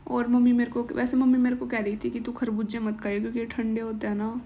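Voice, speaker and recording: A woman's voice: on the high side, level tone, moderate pace, a little mellow, lo-fi sound, slight background noise. A young woman with a sore throat narrating her day in a casual home vlog, chatty and mixing English words into Hindi. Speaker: vlogger.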